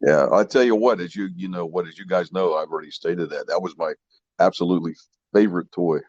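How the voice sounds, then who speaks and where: A man with a low, rough-edged voice chats as a podcast co-host. His manner is folksy and enthusiastic. The audio is dull and muffled. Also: brisk, darkish tone, no echo. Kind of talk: casual chat about vintage toys.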